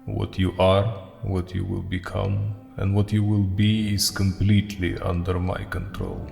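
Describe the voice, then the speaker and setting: Vampire count: ancient, coldly menacing radio drama villain, deep and unhurried, music underneath. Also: male voice, flat delivery, creaky, a little mellow, exaggerated voice. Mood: commanding menace. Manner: slow, commanding, condescending. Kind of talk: radio play acting. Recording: long cavernous reverb over music.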